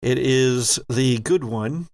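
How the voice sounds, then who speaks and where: A man hosting and running a horror tabletop game as game master, narrating in a measured, formal, theatrical manner. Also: medium pitch, darkish tone, dry recording. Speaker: game master, podcast host.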